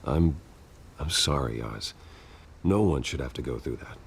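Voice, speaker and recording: An actor playing a guarded, brooding billionaire in a video game, a gravelly voice and a dry, understated way of talking. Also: male voice, a bass voice, lively intonation, a little slow, a little hiss or hum, full character voice. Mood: sober, sympathetic. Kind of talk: cinematic video game dialogue.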